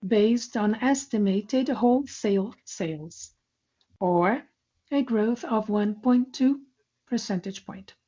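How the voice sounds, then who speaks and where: A woman speaks in a somewhat high yet very mellow voice. She delivers a scripted business presentation, formal and measured. The sound is a little muffled, as on a conference call. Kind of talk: scripted corporate financial presentation.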